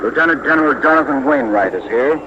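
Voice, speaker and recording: A noisy, muffled 1940s radio broadcast carries an American man reporting live from outdoors. His voice is fairly high, and he announces in a formal, measured way, phrasing each detail deliberately as a correspondent on the scene. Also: animated. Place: outdoors on a warship deck. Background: loud noise, aircraft drone.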